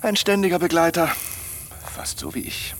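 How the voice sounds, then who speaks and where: An actor playing a chatty, hot-tempered man in a German radio drama, with an expressive, blustering delivery. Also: a little high, moderate pace, a little hiss or hum. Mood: breezy teasing.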